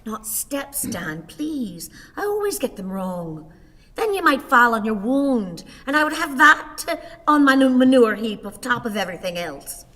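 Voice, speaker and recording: An actress playing a fretful, long-suffering old wife in a radio play, fussing and pleading in a theatrical way. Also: female voice, fairly high pitch, very animated, a little slow, a bit bright, heavily acted. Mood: weary pleading. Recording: reverberant hall.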